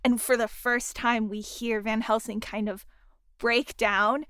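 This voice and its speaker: A young woman hosting a casual podcast interview, with a fairly high, smooth, resonant voice. She talks with easy enthusiasm and lots of fillers, and the sound is close and dry with no room echo. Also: flat delivery, measured pace, fairly bright tone. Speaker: podcast host and sound designer.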